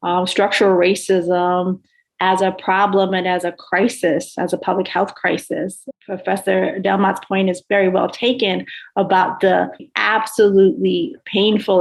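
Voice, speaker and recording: American woman academic on a panel, lots of vocal fry, pretty even tone, formal and articulate. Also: on the low side, rough recording. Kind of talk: analytical panel discussion.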